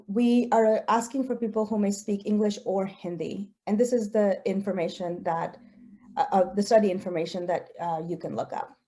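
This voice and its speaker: A woman researcher in her forties, whose first language is Gujarati, gives an earnest presentation. Her voice is mellow and warm, at a middle pitch. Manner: earnest, personal, with occasional fillers. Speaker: researcher giving a talk. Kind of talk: presentation talk with slides.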